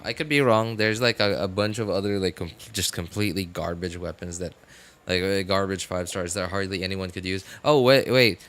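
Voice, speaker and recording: A man hosting a game livestream, rambling casually and excitably, exclaiming and musing aloud. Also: lowish voice. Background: game music.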